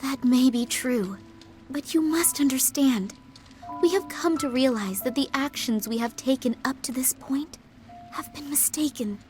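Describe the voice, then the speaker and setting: An earnest, gentle young noblewoman with a high, polite, sincere voice. Faint game music sits behind her. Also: unhurried. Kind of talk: game cutscene voice acting. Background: game soundtrack music.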